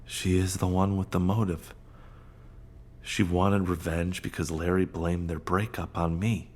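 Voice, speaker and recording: An actor playing an anxious, guilt-ridden HR professional in a podcast drama, agitated and self-blaming in a naturalistic style. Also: male voice, sits low, little pitch movement, unhurried. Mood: tense, regretful. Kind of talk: naturalistic audio drama acting.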